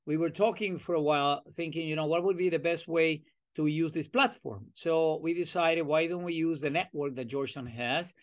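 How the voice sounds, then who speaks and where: An older Venezuelan man speaks as an interview guest, with a voice that sits a bit high for a man. He tells his story in an animated, chatty way, slipping into the voices of people he remembers and filling pauses with "uh" and "um.". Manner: animated, acts out remembered dialogue, many fillers. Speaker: professor and podcast guest.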